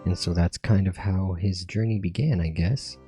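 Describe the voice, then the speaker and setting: Low voiced guy doing casual game commentary, music playing behind him. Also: fairly even intonation, moderate pace, warm tone. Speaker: gaming channel host.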